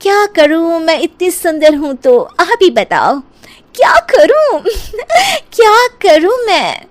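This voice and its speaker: A woman's voice: very high-pitched, big pitch swings, slow, voice pushed up, exaggerated voice. A woman voicing a vain, whiny young beauty-queen daughter-in-law in a cartoon story, coy and dramatic. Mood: whiny, self-admiring. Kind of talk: cartoon story character acting.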